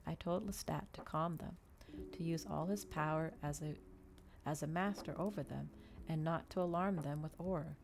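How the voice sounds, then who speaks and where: A woman doing a soft, unhurried ASMR reading of a novel, with small stumbles and restarts. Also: low voice, gravelly, slight background noise. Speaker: streamer reading a novel aloud. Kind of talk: ASMR audiobook-style reading.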